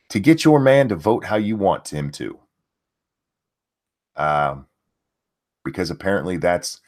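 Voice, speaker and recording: An older man hosting a live stream, his low voice leaping about in pitch as he mocks an article. His commentary is crude and sarcastic, heavy with swearing and scoffing asides to chat. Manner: reads chat and an article aloud. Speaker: livestream host.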